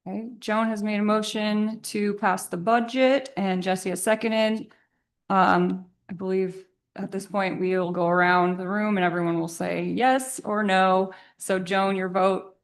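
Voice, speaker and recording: A woman chairing a public council meeting, brisk and procedural as she calls a roll-call vote. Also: medium pitch, steady tone.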